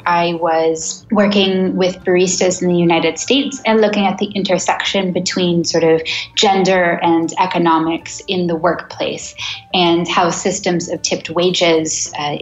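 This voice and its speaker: Woman in her thirties, lowish voice, chatty podcast guest, muffled sound, faint music behind. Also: reverberant. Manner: chatty, laughs often, self-deprecating. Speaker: guest researcher. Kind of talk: podcast interview.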